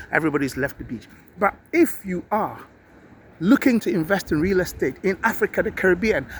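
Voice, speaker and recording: A man's voice: sits high, a little hiss or hum. A man giving off-the-cuff, emphatic investment advice in a vlog, repeating key phrases as he urges the listener on. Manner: emphatic, repetitive, exhorting. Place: outdoors near a beach at night.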